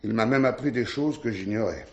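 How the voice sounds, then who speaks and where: Man playing a low-voiced secretive conspirator. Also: a little fast, muffled recording, lightly acted. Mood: tense, confiding. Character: secretive, worried male conspirator confiding in his accomplice. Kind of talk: French radio play acting.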